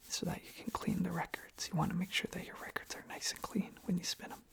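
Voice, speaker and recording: Someone rambling softly in a breathy, husky ASMR whisper close to the microphone, casually repeating little phrases. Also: mid-range voice. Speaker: ASMR video creator.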